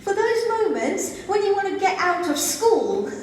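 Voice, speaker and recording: High, playful woman comic in an echoey club. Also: big pitch swings, a little slow, darkish tone, a little hiss or hum. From Zambia. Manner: playful, giggles at her own jokes. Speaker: stand-up comedian. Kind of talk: stand-up comedy set. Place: comedy club stage. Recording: echoey club sound from far off.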